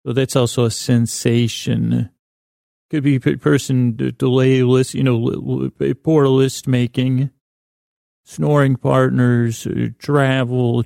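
A man with a gravelly, mellow voice hosts a bedtime podcast. He rambles in a soothing, low-key way, stumbling and doubling back on his own words. The recording is close and dry. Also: dry recording. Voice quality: creaky. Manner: rambling, halting, self-correcting. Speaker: sleep podcast host. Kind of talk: meandering bedtime monologue.

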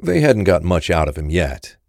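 A man's voice: deep voice, sing-song, normal speed, creaky, a little mellow, no echo, studio quality. A man reading scripted narration for a crime thriller story.

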